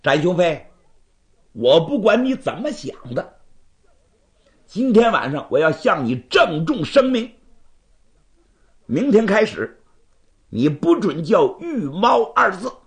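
A man's voice: high-pitched, very animated, slow, pauses often, voice pushed up, heavily acted. A male storyteller voicing a proud, hot-headed young swordsman, brusque and imperious as he challenges a rival. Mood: stern, demanding. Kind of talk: Chinese storytelling performance.

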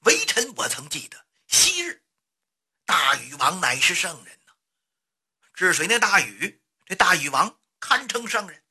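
A woman storyteller voicing a cautious old male courtier who counsels his king in a measured, deferential way. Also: lowish voice, very animated, pushed and bright, slightly rough, exaggerated voice. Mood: earnest counsel.

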